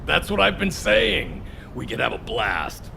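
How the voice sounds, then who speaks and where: Casting: hearty game soldier, heavily gravelly, bright forceful edge, eager manner. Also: male voice, fairly high pitch, huge pitch swings, a little slow, slight background noise, exaggerated voice. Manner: hearty, enthusiastic. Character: boisterous, eager male soldier who loves food. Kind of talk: video game voice acting.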